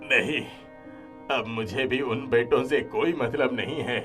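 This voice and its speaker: A man acts out an old village father for a cartoon moral tale. He is kindly, firm and earnest, speaking slowly at a middle pitch with music behind him. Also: a bit bright, lightly acted. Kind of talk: Hindi moral-story cartoon acting.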